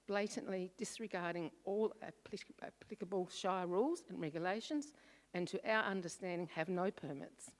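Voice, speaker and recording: A woman reading a formal, measured prepared statement to a council meeting. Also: lowish voice. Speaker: resident speaking at council meeting. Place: council chamber. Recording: long reverb in a hall.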